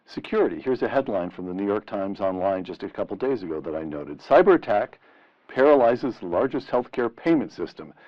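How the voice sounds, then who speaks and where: A middle-aged man interviewing in a deliberate, rhetorical and emphatic way, on a lo-fi vintage radio style recording. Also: fairly low pitch. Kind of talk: long-form interview questioning. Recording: dull, lo-fi vintage radio sound.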